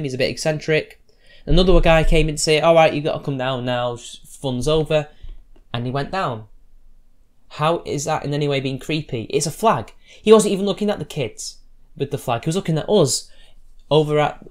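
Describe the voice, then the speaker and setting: A man giving combative, sarcastic reaction commentary, talking loosely with restarts as he answers posts. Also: fairly high pitch. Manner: loose, rambling delivery with restarts. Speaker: YouTube commentator.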